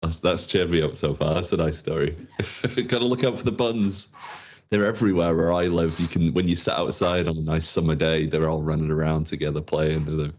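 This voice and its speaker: A man with a Manchester accent and a strikingly deep voice chats casually as a livestream co-host, laughing easily and padding his sentences with ums. His audio is dull and lo-fi, like a headset on a video call. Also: steady tone, a little fast, a little mellow. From England. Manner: chatty, laughs often, filler-heavy. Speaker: community manager co-host.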